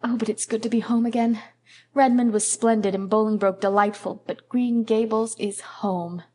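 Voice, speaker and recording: A woman voicing a dreamy, imaginative young woman who speaks warmly and poetically. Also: a little high, steady tone, normal speed, close to an everyday voice. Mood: wistful.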